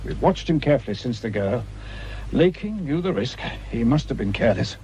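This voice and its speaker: An actor playing a coolly pragmatic official in charge of research, formal and unruffled, in a radio drama. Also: male voice, medium pitch, sing-song, brisk, dark tone, a little hiss or hum. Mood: calm, unyielding. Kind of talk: broadcast radio drama acting.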